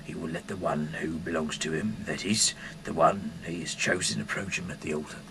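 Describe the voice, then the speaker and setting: Casting: male, very deep voice, bumbling irreverent amateur reader, stumbles and digresses, noisy homemade sound. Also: steady tone, fairly quick. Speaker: amateur reader of scripture, self-styled rock singer. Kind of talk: rambling, informal reading aloud with asides. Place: at home. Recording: noisy amateur home recording.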